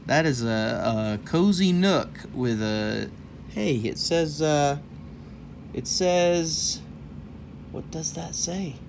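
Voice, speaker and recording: A man's voice: on the high side, lively intonation, drawn-out words, creaky, slight background noise. A man reading a children's story aloud in a folksy, rambling way, breaking off for casual, jokey asides. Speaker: host of a children's read-aloud show.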